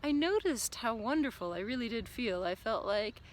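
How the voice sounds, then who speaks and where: Woman thinking out loud to her viewers, earnest and rambly, lots of "like" and "uh", faint hiss behind. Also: on the high side, lively intonation, moderate pace, rough recording. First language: not German. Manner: musing, earnest, with many fillers and "like". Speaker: vlogger and business coach. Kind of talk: reflective casual monologue to camera.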